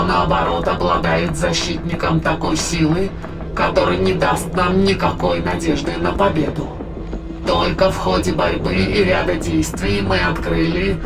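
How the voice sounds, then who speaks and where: A performer in an audio play voicing a sinister ancient demonic spirit that speaks in solemn, declamatory, archaic phrases with a gravelly, creaky voice. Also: medium pitch. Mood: coldly triumphant. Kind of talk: dramatized audio play, formal archaic monologue. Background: dramatic background music.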